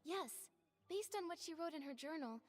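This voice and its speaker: High-voiced earnest young heroine. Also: female voice, lively intonation, normal speed, faint music behind. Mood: pensive, earnest. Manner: thoughtful, earnest explaining. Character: gentle, earnest young female adventurer turned investigator. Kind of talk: video game voice acting.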